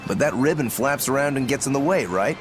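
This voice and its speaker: A woman's voice: rumbling low, expressive, brisk. A woman playing a teasing, laid-back adventurer in a video game cutscene. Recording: music under the voice.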